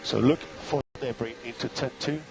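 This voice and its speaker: A man's voice: medium pitch, steady tone, normal speed, noisy background. A man working as a race engineer, giving clipped, matter-of-fact instructions and gap updates over team radio, often repeating key points.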